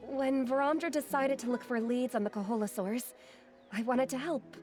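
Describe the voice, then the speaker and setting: A woman playing a shy, polite and helpful young villager in a video game, speaking softly and hesitantly. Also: high-pitched, fairly even intonation, normal speed, music in the background, close to an everyday voice. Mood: modest, hesitant.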